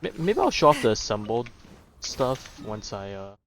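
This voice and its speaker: A man streaming a video game, chatting casually in a rambling, halting way as he thinks aloud. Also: fairly low pitch, big pitch swings, a crowd in the background. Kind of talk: casual livestream chat over gameplay. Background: game music and battle sounds.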